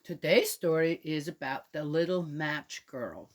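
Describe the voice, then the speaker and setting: Slow, gentle woman reading to kids. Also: sits low. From Switzerland. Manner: gentle, chatty, talks directly to young listeners. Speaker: children's storyteller. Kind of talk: reading a picture book to children.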